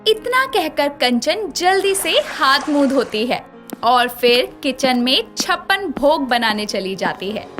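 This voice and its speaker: A woman's voice: high voice, sing-song, takes it slowly, fairly bright tone. A woman narrating a scripted moral story. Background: light music.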